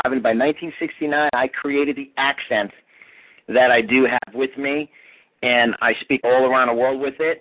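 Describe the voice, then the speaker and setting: New York man coaching over the phone. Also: medium pitch. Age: 40s. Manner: energetic, punchy motivational delivery. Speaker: business coach. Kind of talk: motivational teleclass talk. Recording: tinny phone line.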